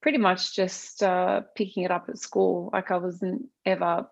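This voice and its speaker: A chatty woman from Australia whose first language is Arabic, speaking as a podcast guest. Her voice has a gravelly fry, and the sound comes through a little dull and lo-fi. She talks in a loose, rambling way with many fillers and restarts. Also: on the low side, flat delivery, normal speed. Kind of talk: casual conversational interview.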